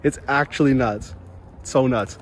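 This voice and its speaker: A man's voice: medium pitch, a little slow. A young man chatting casually and slangily outdoors, rambling and musing in a laid-back way. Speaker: vlogger snowboarding at a ski hill. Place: outdoors on a ski slope and chairlift. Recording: clear outdoor ambient noise on ski hill.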